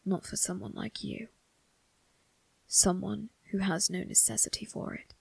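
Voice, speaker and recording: A woman voicing a brooding, cold but protective young male vampire crime boss in an ASMR roleplay, speaking formally and deliberately in a raspy, breathy voice that dips into whispers. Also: mid-range voice, level tone, dry recording, heavily acted. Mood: stern, protective. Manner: deliberate, formal, menacing, drawn-out phrasing. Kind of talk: ASMR roleplay, dramatic monologue addressed to listener.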